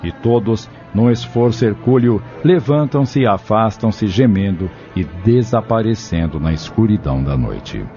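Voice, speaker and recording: A man's voice: on the low side, lively intonation, unhurried, hardly any pauses. A man narrating a scripted audio novel as its storyteller. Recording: dull lo-fi audio with music behind.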